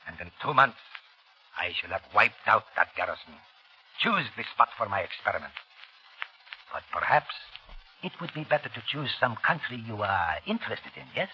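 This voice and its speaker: An actor playing a cold, scheming doctor in an insinuating, theatrical 1930s radio style. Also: male voice, on the low side, sing-song, normal speed, slight background noise. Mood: coldly persuasive. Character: sinister foreign doctor, cold scheming germ-warfare villain. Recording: dull vintage 1930s radio recording, faint music.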